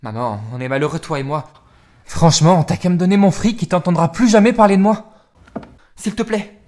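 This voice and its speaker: A man's voice: high-pitched, brisk, a little mellow, lightly acted. A man playing an insolent, bitter seventeen-year-old son defying his mother in a fan-dubbed TV drama, casual, sarcastic and confrontational. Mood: bitter, exasperated. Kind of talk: amateur fan dub of TV drama. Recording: music under the voice. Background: music.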